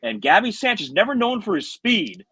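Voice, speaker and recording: A man's voice: sits high, sing-song, normal speed, bright, forceful tone, slightly rough, no room sound. A middle-aged American man, a former baseball radio announcer, telling stories conversationally as a podcast guest. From South Florida, United States. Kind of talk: conversational storytelling.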